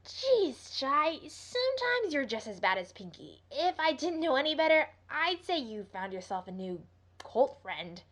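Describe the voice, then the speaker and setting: A woman's voice: high voice, big pitch swings, a little slow, a bit bright, exaggerated voice. A woman voicing a brash, impatient, teasing female pegasus pony with a blunt manner, in a scripted story reading. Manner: blunt, dismissive.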